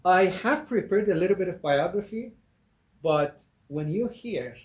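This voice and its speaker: A man from Iran hosts a friendly interview. He speaks slowly and deliberately, with a fairly high voice, and his words ring with room echo. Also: animated. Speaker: podcast host. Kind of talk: interview chat.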